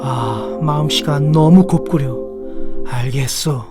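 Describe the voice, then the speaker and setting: A courtly young storybook prince, acted by a man, speaks in a fairly high male voice. He is lovestruck and resolute, with clear music behind him. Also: slow. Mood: moved.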